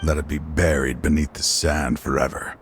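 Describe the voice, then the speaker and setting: A man playing a remorseful, resolute father and dying official in a video game, speaking gravely and haltingly. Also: extremely low pitch, flat delivery, a little hiss or hum. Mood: grave, anguished. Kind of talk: dramatic video game dialogue. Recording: game music behind the voice.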